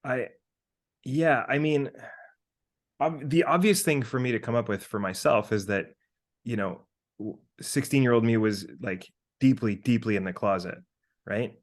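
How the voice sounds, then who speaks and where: A man in his thirties talking casually as a podcast co-host, animated and sweary as he thinks out loud. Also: medium pitch, measured pace. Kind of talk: casual conversational monologue with hypothetical examples.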